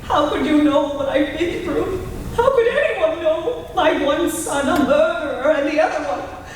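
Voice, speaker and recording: A woman playing a grieving mother in a live old-time radio drama, slow, despairing and pleading. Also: high voice, slight background noise. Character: grieving mother of two sons, worn down. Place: large convention hall, live stage. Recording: echoey hall, heard from far off.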